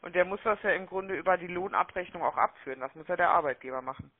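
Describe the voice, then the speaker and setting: A man's voice: high-pitched, quick pace. A man working as a call-centre agent, chummy and wordy, often ending sentences with little questioning tags. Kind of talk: chatty, unscripted sales phone call. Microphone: telephone line. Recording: muffled, narrow-band phone-call audio.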